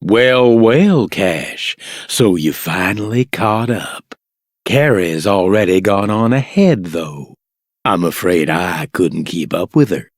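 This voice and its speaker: Deep, slow, weary man. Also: expressive, dry recording, clean studio sound, full character voice. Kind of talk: video game dialogue acting.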